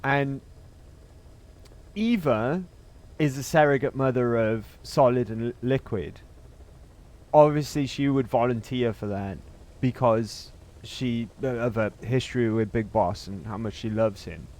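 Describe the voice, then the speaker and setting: A man's voice: mid-range voice, measured pace, darkish tone. A man giving casual livestream game commentary, emphatic and repeating himself as he thinks aloud. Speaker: game streamer.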